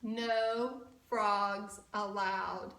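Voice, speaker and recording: A woman's voice: medium pitch, fairly even intonation, very slow, a bit bright. A woman voicing a proud, stubborn male Pharaoh in an emphatic children's storybook reading. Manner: emphatic, boastful storybook exclaiming. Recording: roomy, from a distant mic.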